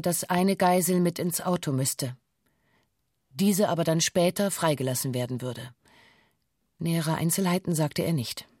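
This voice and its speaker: A woman's voice: sits low, a little mellow, no room sound, clean studio sound. An actress playing a female bank hostage who gives a formal, matter-of-fact witness statement in a documentary radio drama.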